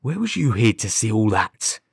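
Man putting on a defensive, slow-witted working-class constable. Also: deep voice, a little mellow, no room sound, studio quality. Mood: suspicious. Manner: colloquial, ungrammatical rustic speech. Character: working-class male police constable, defensive, dim, aggrieved. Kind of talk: audiobook character dialogue.

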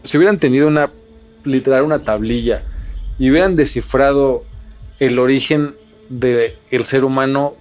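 A man's voice: medium pitch, normal speed, darkish tone, soft background music. A Mexican man hosting a casual podcast, repeating and rephrasing his phrases for emphasis. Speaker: podcast host.

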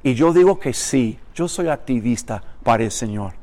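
A man's voice: mid-range voice, animated, normal speed, dark tone. An older man, a pastor, with a Chilean Spanish accent mixed with Mexican, preaching emphatically to his congregation outdoors with rhetorical repetition. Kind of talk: recorded sermon greeting to a congregation. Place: outdoors on a vacant lot.

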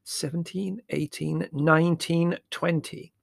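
A man's voice: fairly high pitch, very animated, moderate pace, vocal fry, slightly rough, dry recording, studio quality, voice pushed up, exaggerated voice. A man voicing a fussy, irritable middle-aged mother in a storybook, explaining patiently and then snapping. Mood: irritable, fierce.

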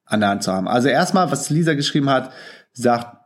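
A man's voice: medium pitch, expressive, fast. A man hosting a casual podcast monologue, enthusiastic and slangy, with frequent filler sounds and a lingering cold. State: has a cold. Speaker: podcast host. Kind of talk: casual podcast monologue, reading out listener comments.

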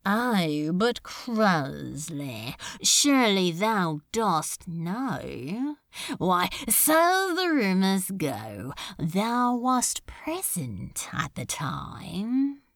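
A woman voicing a languid, cruelly mercurial male demon lord in an audiobook, with drawn-out, archaic, mock-courteous speech. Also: mid-range voice, very animated, a bit bright, dry recording, clean studio sound, heavily acted. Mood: mock innocent. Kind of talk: audiobook character acting.

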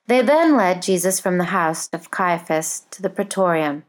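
A woman reading a Bible narrative aloud in a measured, formal and solemn style. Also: fairly low pitch, lively intonation. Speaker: scripture reader.

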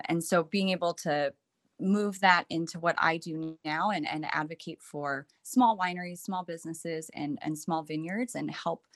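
Woman with a lowish voice, halting warm-hearted panel guest, dry close sound. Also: dry recording. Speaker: panel speaker. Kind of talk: casual group chat on social audio.

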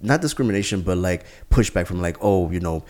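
A man with a very deep voice asks questions in an interview. He speaks slowly and carefully and keeps a thoughtful tone. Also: animated, a little mellow. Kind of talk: casual interview.

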